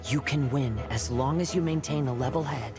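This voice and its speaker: A man voicing a calm, level-headed companion in a video game, giving counsel in a measured, steady way. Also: mid-range voice, lightly acted. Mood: calm, encouraging. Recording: music behind the voice.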